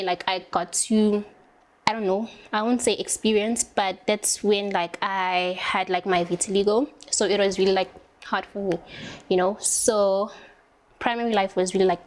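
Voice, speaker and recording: A young woman from South Africa with a South African accent, chatting casually while doing her makeup, full of "like" fillers and drawn-out words. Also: medium pitch, fairly even intonation. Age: young adult.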